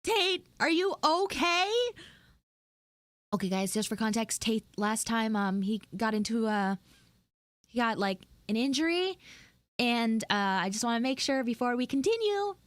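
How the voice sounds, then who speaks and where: A woman live streamer chatting casually with her audience in a flustered, apologetic, halting way. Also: on the high side, very animated, dry recording.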